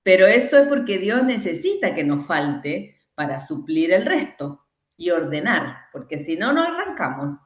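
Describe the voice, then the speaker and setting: Lively Argentine woman in an echoey room. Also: fairly low pitch, normal speed. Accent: Argentine Spanish. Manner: emphatic, quotes imagined excuses and prayers. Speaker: trainer leading a workshop. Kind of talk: teaching conversation.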